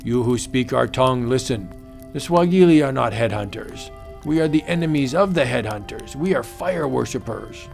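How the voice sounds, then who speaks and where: A man's voice: medium pitch, expressive, normal speed, vocal fry, a little mellow, soft background music. A man voicing a proud, mighty witch doctor with stilted, declamatory ritual speech in a comic book audiobook. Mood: boastful, solemn.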